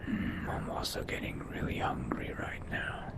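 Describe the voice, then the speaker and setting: A man voicing a wary, hungry undead vampire in a game roleplay, muttering to himself in a breathy, gravelly whisper. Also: close to an everyday voice. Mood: hungry, curious. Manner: slow, hushed, muttering to himself. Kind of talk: in-character video game roleplay narration.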